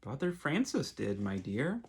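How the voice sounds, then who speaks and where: A patient mother bird in a storybook, voiced by a man reading aloud. She gently teaches her child, with lively intonation and a slightly husky tone. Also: medium pitch, a little slow, voice pushed down, exaggerated voice. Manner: patient, instructive.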